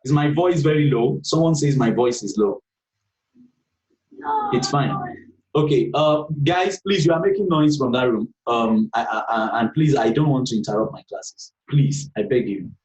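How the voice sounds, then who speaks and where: A man's voice: medium pitch, normal speed, a little mellow, far from the mic. A man teaching beginners in a live online class, going over each point patiently and checking in with the group again and again. His voice sounds set back from the mic, with a roomy echo around it. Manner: patient, repeats points, frequent "okay" checks. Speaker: trading instructor.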